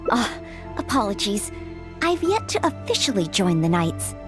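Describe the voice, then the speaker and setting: An actress playing a polite, eager young maid who dreams of knighthood in a video game, speaking with apologetic hesitance. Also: female voice, mid-range voice, sing-song, normal speed, music in the background, natural voice. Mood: earnest, polite.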